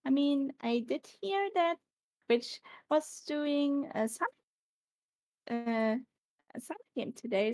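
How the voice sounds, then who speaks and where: A hesitant woman with a high, creaky voice. She speaks slowly, with lots of fillers and pauses, on a dry, close recording. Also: no room sound. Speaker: guest voice actor. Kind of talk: casual live-stream chat.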